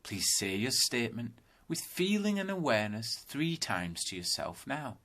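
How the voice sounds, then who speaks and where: A man guiding a yoga nidra meditation in calm, deliberate, instructional phrases with space between them. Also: mid-range voice. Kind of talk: guided meditation narration.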